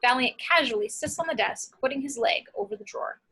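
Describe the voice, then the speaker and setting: A woman's voice: sits high, sing-song, fast, gravelly, bright, forceful tone, breathy edge. A woman reading screenplay action and scene headings as scripted narration.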